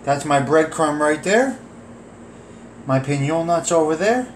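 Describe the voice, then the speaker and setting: A man's voice: a little high, lively intonation, measured pace, slight background noise. A man giving a casual cooking walkthrough in his home kitchen, chatting through his ingredients with fillers as he films overhead on a phone. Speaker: home cook vlogger. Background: music.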